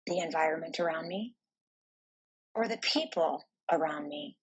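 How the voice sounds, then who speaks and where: A woman narrating a guided meditation in a soft, soothing, deliberate manner with pauses between phrases. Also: low voice, breathy edge, dull audio.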